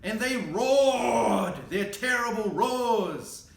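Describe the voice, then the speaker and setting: A man's voice: very high voice, drawn-out words, vocal fry, echoey room. A man reading a picture book aloud expressively, with playful, casual asides and exclamations as he goes. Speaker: storyteller.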